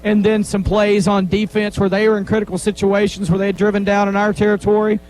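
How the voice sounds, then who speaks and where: A man, a high school football coach, giving a plain-spoken postgame interview on the field and talking through the game's key plays. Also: little pitch movement, quick pace, a little mellow, slight background noise, low-quality recording. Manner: plain-spoken, rambling, repeats himself. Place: on the field after a game.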